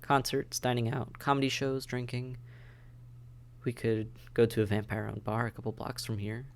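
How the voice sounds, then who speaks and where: A man's voice: mid-range voice, flat delivery, normal speed, gravelly, lightly acted. A man acting a friendly, dry-witted vampire caseworker in a conversational audio roleplay, wry, understated and matter-of-fact. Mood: easygoing, friendly. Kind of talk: conversational audio roleplay, one side of a dialogue.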